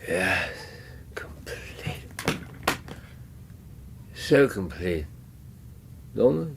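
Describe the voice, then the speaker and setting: An English actor playing a brilliant but ailing detective in a radio drama, weary, melancholy and sardonic in broken phrases. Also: male voice, deep voice, sing-song, extremely slow, vocal fry, noisy background, exaggerated voice.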